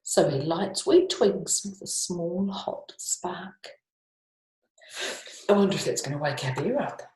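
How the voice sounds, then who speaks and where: A woman with a New Zealand accent reading picture books aloud to young children, in an expressive sing-song way with chatty asides. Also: fairly low pitch, takes it slowly. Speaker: storytime reader for young children. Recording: echoey room.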